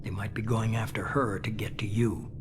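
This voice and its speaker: Measured actor as a calculating male spymaster. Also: lowish voice, steady tone, noisy background, close to an everyday voice. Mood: grave, calculating. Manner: measured, controlled, commanding. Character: calculating, authoritative middle-aged male spymaster boss. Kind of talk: video game voice acting.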